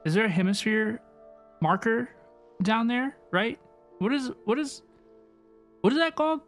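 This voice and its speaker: A mid-pitched game streamer gives casual live commentary, rambling along and reacting out loud in slang. Game music plays behind the voice. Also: animated, a little slow. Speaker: livestreamer playing a video game, VTuber. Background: video game music and dialogue.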